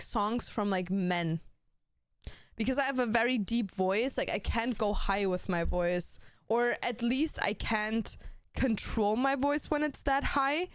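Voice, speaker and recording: A woman's voice: a little high, smooth, no echo, clean studio sound. A young woman streaming, chatting casually, swearing a lot and saying "like" and "bro" constantly. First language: German. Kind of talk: casual chat on a gaming stream.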